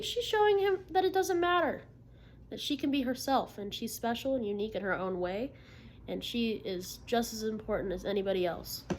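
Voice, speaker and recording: A woman reading a picture book aloud to children like a teacher, expressively, pausing to put encouraging questions to her listeners. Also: fairly high pitch, a little hiss or hum. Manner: encouraging, checks understanding with frequent questions. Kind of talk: interactive storybook read-aloud with questions to the listeners.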